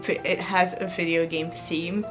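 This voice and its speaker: Young woman, native French speaker, rambling, self-correcting, lowish voice, guitar music underneath. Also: flat delivery, a little slow. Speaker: book vlogger. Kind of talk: casual explaining to camera.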